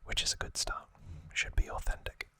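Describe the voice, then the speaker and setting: A man hosting an ASMR unboxing, speaking in a breathy whisper, gently and unhurriedly in short phrases with pauses. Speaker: ASMR video host.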